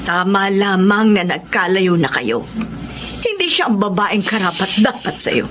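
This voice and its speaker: A woman's voice: mid-range voice, big pitch swings, measured pace, a bit bright, a little hiss or hum. An actress with a Filipino accent playing a resentful, disapproving older mother in a radio drama, emphatic and scolding. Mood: bitter, resentful. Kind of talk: Tagalog radio drama acting.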